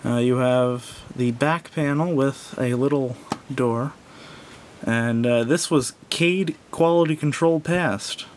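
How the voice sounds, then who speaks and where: A man giving a casual, unscripted hands-on product review in a hesitant, rambling, self-correcting way. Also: takes it slowly. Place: indoors at a wooden table. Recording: noisy home recording with low rumble.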